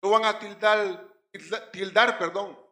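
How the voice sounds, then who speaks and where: A male pastor with a Puerto Rican accent preaching a sermon, swinging between loud stressed words and quiet drops. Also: high voice, fairly even intonation, unhurried, reverberant.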